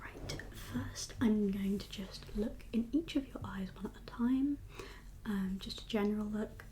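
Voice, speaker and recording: A woman speaking in a soft, breathy whisper with a calm, reassuring, unhurried manner, as a doctor in an ASMR medical roleplay. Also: mid-range voice, slight background noise. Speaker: ASMR performer playing a doctor.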